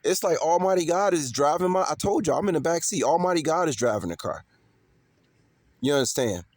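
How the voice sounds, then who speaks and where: A man's voice: on the high side, lively intonation, fairly quick, darkish tone, no room sound. A man from talks off the cuff to his viewers, emphatic and repetitive, with street slang and swearing. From Chicago, United States. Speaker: vlogger. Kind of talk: casual unscripted monologue to viewers.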